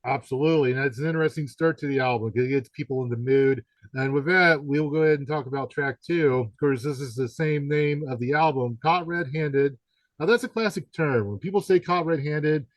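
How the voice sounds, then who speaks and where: A man speaks as a podcast interviewer with an average-pitched voice in a very dry recording. He talks conversationally and wanders through his thoughts, often feeling his way toward a question. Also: fairly quick, no room sound.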